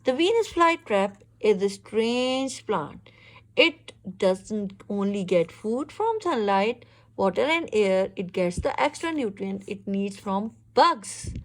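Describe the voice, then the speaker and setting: A woman reads a children's picture book aloud in an expressive, instructive way, pausing to ask questions. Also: medium pitch, poor audio. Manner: expressive, instructive, exclaims and checks understanding. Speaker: reader teaching a young child.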